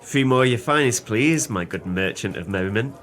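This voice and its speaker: An actor playing a jovial, tipsy, hard-up former sailor in an audio play, talking in hearty, flowery, boastful phrases. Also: male voice, fairly low pitch, lively intonation, fairly quick, a bit bright, a little hiss or hum. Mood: merry, grandiose.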